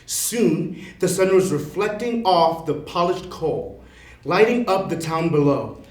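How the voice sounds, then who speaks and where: Male storybook narrator, very high register, slow pace, echoey and noisy recording. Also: expressive. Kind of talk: scripted children's story reading. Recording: noisy, roomy, distant mic.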